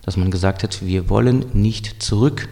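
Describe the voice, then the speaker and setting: A man answering interview questions in a measured, explanatory way, often restarting his sentences. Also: on the low side, creaky, warm tone, in a large hall. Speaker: historian guest.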